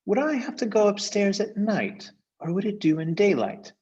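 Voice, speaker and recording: A man's voice: high-pitched, very animated, moderate pace, a little mellow, muffled recording, pitched above the performer's own voice. A man voicing a brave, earnest young girl with a questioning, resolute manner, in a storybook reading. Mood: puzzled.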